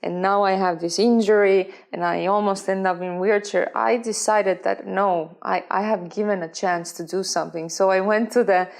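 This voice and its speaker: A woman's voice: medium pitch, steady tone, moderate pace, creaky, hardly any pauses. A woman from Estonia, a professional swimmer, speaking earnestly in a conversational interview with non-native phrasing and frequent restarts.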